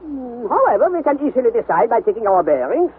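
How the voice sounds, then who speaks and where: An actor playing an excitable, obsessive older German professor in a theatrical 1960s radio drama style. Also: male voice, very high voice, fast, breathy edge, exaggerated voice. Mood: brisk, confident. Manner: theatrical, declamatory, exclaiming. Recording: tinny, noisy vintage radio recording.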